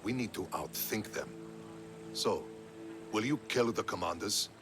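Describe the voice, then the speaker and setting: An actor playing a proud, curt, ruthlessly pragmatic Athenian general in a video game cutscene. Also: male voice, on the low side, animated, a little slow, over music. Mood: haughty, determined. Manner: curt, haughty.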